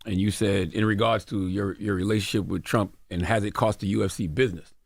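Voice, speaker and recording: Fast-talking low-voiced man interviewing. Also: fairly even intonation, vocal fry, husky, dry recording. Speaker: radio show interviewer. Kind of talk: interview questioning.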